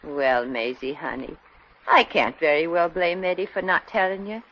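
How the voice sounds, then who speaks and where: A woman's voice: low voice, steady tone, moderate pace, natural voice. An actress playing a wry, motherly older woman who delivers dry quips in 1940s radio comedy style. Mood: sympathetic. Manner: dry, quipping. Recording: muffled old radio recording.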